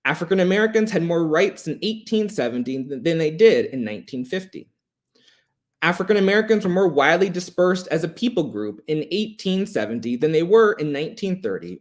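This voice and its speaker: A man giving a prepared history presentation in a measured, emphatic, formal way, as a lecturer. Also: on the high side.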